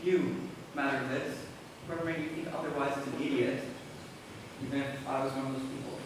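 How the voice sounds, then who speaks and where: A man's voice: mid-range voice, steady tone, a little fast, lightly acted. A young male student actor playing a grieving, guilt-ridden teenage older brother in a stage play. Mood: earnest, regretful. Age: teens to young adult. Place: school stage performance. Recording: echoey hall, distant mic, noisy.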